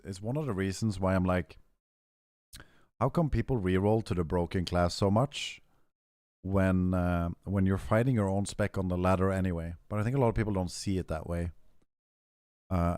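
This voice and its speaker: A young man with a deep, low voice talks to his stream viewers in a loose, rambling way. His throat is rough and hoarse, and he keeps clearing it. The sound is dry and close. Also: moderate pace, mellow, no room sound. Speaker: game streamer. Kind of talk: casual stream chat, answering chat.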